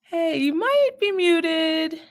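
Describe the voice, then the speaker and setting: A woman's voice: very high voice, lively intonation, takes it slowly. A woman hosting a live online event in an effusive, casual, chatty way. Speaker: host of an online celebration event.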